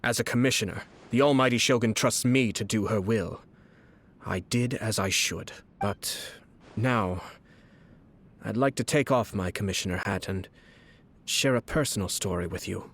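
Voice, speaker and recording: An actor playing a refined, shrewd young clan lord in a video game, speaking in a formal, measured, courtly way. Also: male voice, on the low side, a bit bright, husky. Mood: composed. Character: refined young nobleman, calm, shrewd clan head and commissioner. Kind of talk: scripted video game character dialogue. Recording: game music behind the voice.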